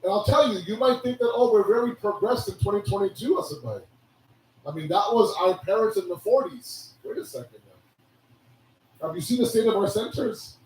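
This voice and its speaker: A hoarse man giving a sermon, preaching with animated rhetorical questions. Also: high voice, moderate pace, slight background noise. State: hoarse, losing his voice. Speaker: religious speaker. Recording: echoey hall from a distance.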